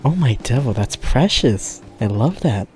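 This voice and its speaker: A man's voice: medium pitch, animated, unhurried, darkish tone, soft background music, close to an everyday voice. A man playing a flirty, teasing demon who reports and hosts in a fiction podcast. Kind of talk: fiction podcast banter and monologue.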